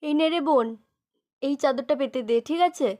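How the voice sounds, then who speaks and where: A caring elder sister doll from a children's doll story, voiced by a woman. Her voice is high, and she talks in a homely, chatty way, gently giving instructions as she runs the household. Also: normal speed, lightly acted. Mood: busy, caring. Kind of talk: doll-play storytelling dialogue.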